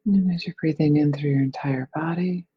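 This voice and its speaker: A person leading a guided meditation in a soothing, unhurried manner. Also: mid-range voice, fairly even intonation, highs cut off, soft background music. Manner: soothing, unhurried phrasing with breathy releases.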